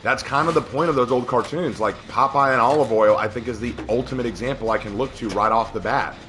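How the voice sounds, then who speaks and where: A man with a slightly hoarse voice, casually chatting and reacting as he streams a game. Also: medium pitch, fast talker, sharp tone, a little hiss or hum. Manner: talkative, opinionated, laughs at the game. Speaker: livestreamer. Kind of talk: casual gameplay commentary.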